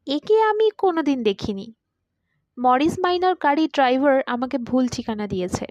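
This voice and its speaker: A high female voice narrates a literary short story with dramatic expression. The sound is dry and close, with a slightly dull, lo-fi finish. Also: darkish tone, dry recording. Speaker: story reader.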